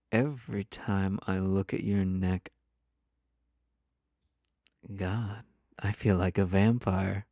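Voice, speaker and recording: A flirty yandere lover acted out for an audio roleplay speaks slowly and intimately, right to the listener. The voice is mellow with a creaky edge, coy and possessive, slipping into breathy teasing. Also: deep voice, no room sound, studio quality, full character voice. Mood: adoring, flirty.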